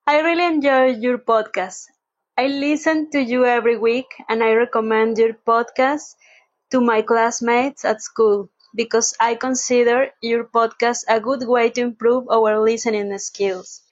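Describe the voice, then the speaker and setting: Woman from Mexico, Spanish native, higher voice, careful learner English, dull lo-fi voice message. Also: steady tone, unhurried. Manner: careful learner English with small grammar slips. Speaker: listener sending voice message.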